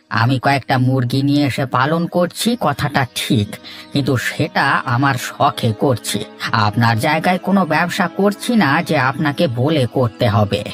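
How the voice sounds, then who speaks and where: A man's voice: fairly high pitch, lightly acted. A man voicing a stubborn, defiant village poultry keeper and grumbling husband in a cartoon, argumentative. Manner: indignant, argumentative. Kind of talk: cartoon dialogue acting. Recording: faint music behind.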